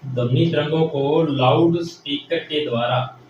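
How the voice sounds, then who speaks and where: A man's voice: on the high side, measured pace, a little mellow, dull audio. Echoey man teaching a lesson. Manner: repeats phrases, asks and answers own questions. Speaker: technical teacher. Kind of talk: classroom lecture, explaining step by step. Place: echoey indoor room. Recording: very echoey room, distant mic, faint noise.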